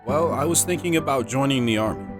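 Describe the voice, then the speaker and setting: An actor playing a hesitant, lovestruck high-school senior in a romantic audio drama. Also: male voice, fast, music in the background, close to an everyday voice.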